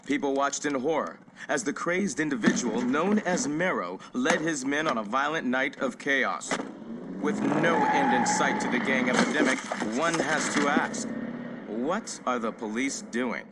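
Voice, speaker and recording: An actor playing a TV news reporter in a video game, giving a sensational scripted report over loud noise. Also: male voice, mid-range voice, measured pace, close to an everyday voice. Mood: urgent. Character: dramatic male TV news reporter.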